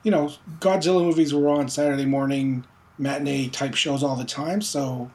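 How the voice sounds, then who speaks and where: A man's voice: mid-range voice, fairly even intonation, normal speed, darkish tone. A man from the Philippines, raised in Montreal, talking loosely about his comic in an interview, with many fillers and restarts. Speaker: comic book writer. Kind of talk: casual interview.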